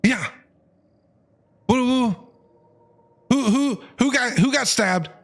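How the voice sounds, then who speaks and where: A man's voice: extremely high pitch, slow. A man livestreaming a game, reacting in casual, blunt slang and often repeating himself. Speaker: game streamer.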